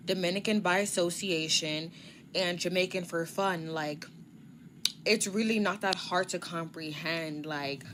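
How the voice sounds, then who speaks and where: A sassy, playful woman from Nigeria speaks in a casual monologue, slipping Spanish phrases into her talk. Her voice is fairly low. A faint hiss of background noise runs under it. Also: measured pace. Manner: sassy, playful, code-switching.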